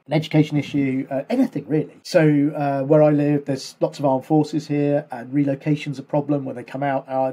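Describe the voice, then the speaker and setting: A man's voice: a little high, dark tone, husky. A man co-hosting a casual podcast chat over a call, rambling and correcting himself as he explains. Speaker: podcast co-host.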